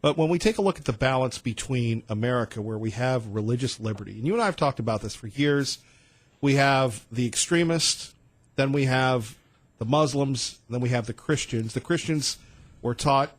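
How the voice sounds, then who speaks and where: A man's voice: mid-range voice, moderate pace, no room sound. A man hosting a talk radio show, conversational and asking leading questions of his guest. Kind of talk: conservative talk radio interview. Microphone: studio mic.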